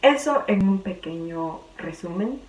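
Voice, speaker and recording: A young woman from Ecuador with a Quito accent, talking chattily in a personal vlog and sometimes pausing to search for words. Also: medium pitch, lively intonation, over loud music. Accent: Quito Ecuadorian Spanish. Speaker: vlogger. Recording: roomy, distant, faint background hum.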